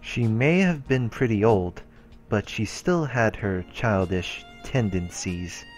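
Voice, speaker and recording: Man calmly reading game narration. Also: lowish voice, slow pace. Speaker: let's play host. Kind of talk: reading visual novel narration aloud. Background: game music and voices.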